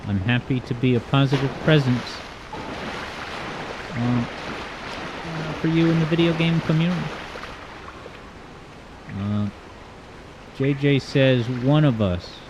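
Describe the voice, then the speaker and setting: A male livestream host with a smooth voice of middling pitch, chatting at home through a streaming mic with boosted EQ. He rambles, jokes and pokes fun at himself while reading viewer comments. A steady hiss of background noise sits under his voice. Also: takes it slowly, pauses often, dull audio. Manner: rambling, joking, self-deprecating.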